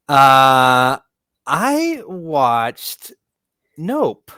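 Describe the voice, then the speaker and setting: A man's voice: fairly high pitch, pushed and bright, no room sound, clean studio sound. A man co-hosting a casual movie podcast, talking in a hesitant, rambling way and stumbling over words. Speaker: podcast co-host.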